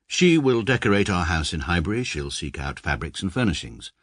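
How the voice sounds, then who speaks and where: A man's voice: sits low, sing-song, brisk, fairly bright tone, no room sound, exaggerated voice. An English actor in his thirties narrating as a pompous, precise barrister in a radio drama, dry and self-satisfied. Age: 30s. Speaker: narrator and lead.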